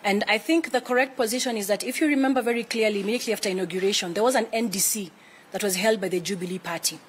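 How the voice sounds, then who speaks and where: A Kenyan woman speaks as an official spokesperson at a press briefing. Her delivery is quick and formal, at a middling pitch, over faint background noise. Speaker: spokesperson for a retired president's office. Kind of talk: press conference answers. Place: indoor room with flags, press briefing.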